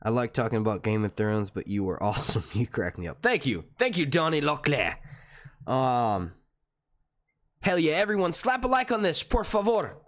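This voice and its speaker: A young man hosting a casual live stream at home into a desk microphone, chatty and profane, with a naturally loud, carrying voice. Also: medium pitch, very animated, moderate pace. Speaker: YouTube live stream host. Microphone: desk mic.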